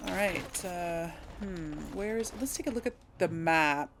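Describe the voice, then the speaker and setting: A woman's voice: fairly low pitch, unhurried, a little hiss or hum. A woman giving casual, musing game commentary, thinking aloud and speaking replies in character. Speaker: gaming video host.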